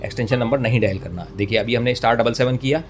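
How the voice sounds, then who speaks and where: A man's voice: mid-range voice, fast talker. An Indian man presenting a step-by-step technical tutorial, mixing English terms into his speech and often rephrasing as he explains. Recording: clear background noise, room ambience.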